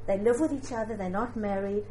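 A woman's voice: mid-range voice, fairly quick, mellow, echoey room, a little hiss or hum, natural voice, rough recording. A woman voicing an anxious, complaining American man in a spoken story, fretting with rhetorical questions. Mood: dismayed. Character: anxious American man dismayed by hippie youth.

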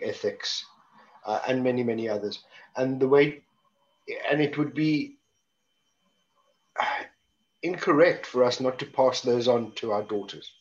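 South African man on a dull video call. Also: medium pitch. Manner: wry, conversational, frequent restarts. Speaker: panel guest. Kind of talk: reflective panel talk.

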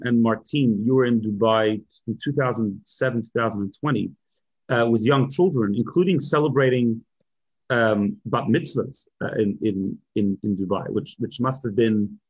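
This is a man moderating a panel on a video call, with a husky, low voice. The sound is dry and dull. He affirms the speakers and often stumbles over repeated words. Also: dry recording.

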